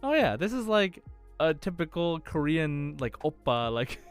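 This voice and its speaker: A man's voice: fairly high pitch, sing-song, unhurried, clear, resonant tone. Rambling male podcast host over music. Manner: hesitant, rambling, heavy use of "like". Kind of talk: casual chat.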